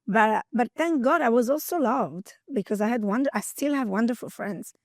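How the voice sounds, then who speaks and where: A woman around fifty with an Italian accent, talking in an interview. She is energetic and chatty and laughs often as she tells her story. The sound is dry and close, with no room echo. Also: fairly high pitch, moderate pace. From Italy. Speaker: guest. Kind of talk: interview conversation.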